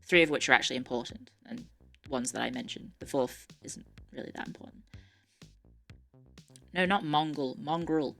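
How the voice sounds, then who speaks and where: Low-voiced woman with mixed Irish-English accent. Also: normal speed, vocal fry, long pauses, fairly bright tone, faint music behind. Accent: mixed Irish and English, Australian-tinged. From Ireland. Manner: chatty, self-correcting, wry asides. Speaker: streamer. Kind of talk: casual live-stream chat, reading aloud.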